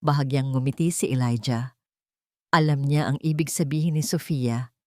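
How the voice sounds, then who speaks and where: A woman narrating a scripted dramatic story in measured, short literary phrases. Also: very deep voice, warm tone, dry recording, clean studio sound.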